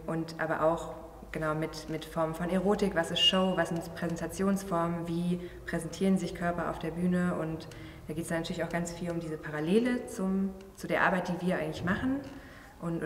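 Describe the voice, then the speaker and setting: A thirty-year-old woman explains her work in a casual interview, halting now and then to search for words. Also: lowish voice, steady tone. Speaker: performer and choreographer interviewee. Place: large empty theatre venue. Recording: echoey hall, distant mic, faint noise.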